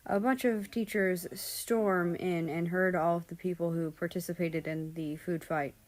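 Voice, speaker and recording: A woman's voice: lowish voice, steady tone, unhurried. A woman reading a piece of fan fiction aloud as scripted story narration.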